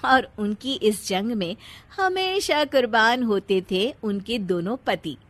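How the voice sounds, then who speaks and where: A woman narrating a scripted story in an animated, expressive way, with a teasing manner as she addresses the listener. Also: fairly high pitch, slow pace.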